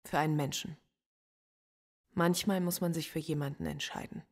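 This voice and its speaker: An actress playing a lonely, defiant philosophy professor under police interrogation in a radio play, speaking quietly and in measured phrases. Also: female voice, sits low, steady tone, gravelly, husky, heavily acted. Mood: guarded. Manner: quiet, measured, often hushed and introspective.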